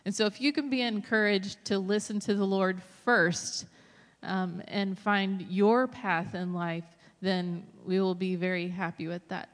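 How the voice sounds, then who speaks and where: Female missionary speaker, 30s, medium pitch, addressing a congregation in an echoing hall. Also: fairly even intonation, unhurried. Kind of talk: personal testimony to a church congregation. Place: large church hall, over a PA. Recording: echoey hall, long reverb.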